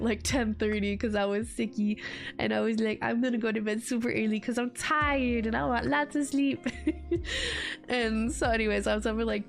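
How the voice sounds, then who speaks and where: Stuffy-nosed woman with a gravelly voice. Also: a little high. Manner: rambling, laughing often, says like a lot. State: stuffy, congested from a cold. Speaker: VTuber streamer. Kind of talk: casual stream chat.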